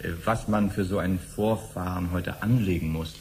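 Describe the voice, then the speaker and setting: An actor playing a brisk male interrogator in a 1970s radio play, questioning and a little impatient. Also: low-pitched, darkish tone, slight background noise. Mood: probing.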